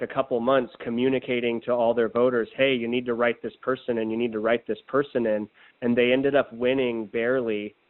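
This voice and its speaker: A man with an American accent explaining plans in a confident, informal way during a casual phone conversation. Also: mid-range voice. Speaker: political organizer explaining a plan. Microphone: telephone. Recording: tinny recorded phone call.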